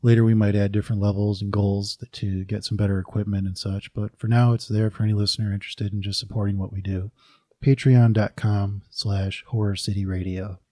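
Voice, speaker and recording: Podcast host, male: low and mellow, conversational announcer read, dry sound. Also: little pitch movement, fairly quick, no echo.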